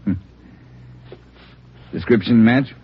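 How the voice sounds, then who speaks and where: An actor playing a senior police officer who gives curt, cautious orders. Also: male voice, mid-range voice, takes it slowly, husky. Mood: curt, steady. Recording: dull lo-fi vintage radio with loud noise.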